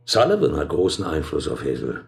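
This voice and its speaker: A man's voice: very deep voice, fairly quick, creaky, exaggerated voice. An actor playing a scheming male acquaintance visiting a prisoner in a radio drama, talking in an insinuating, worked-up way. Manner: insinuating, worked up, lively delivery.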